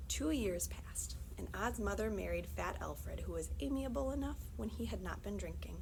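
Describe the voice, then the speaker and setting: A woman's voice: mid-range voice, creaky, background noise. A woman reading a children's story aloud, breaking off for chatty, informal asides to her young listeners.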